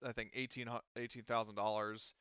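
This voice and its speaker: Guy running a tabletop game, crisp bright voice, dry slightly dull mic, chatty. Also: on the low side, little pitch movement, brisk, no echo. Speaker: game master. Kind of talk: casual tabletop game talk.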